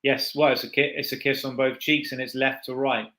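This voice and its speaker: Bright-voiced middle-aged Norwich man. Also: medium pitch, level tone, a little fast. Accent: Norwich, England. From Norwich, England. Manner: chatty, laughs easily, hesitant fillers. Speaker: guest expert. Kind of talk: casual chat.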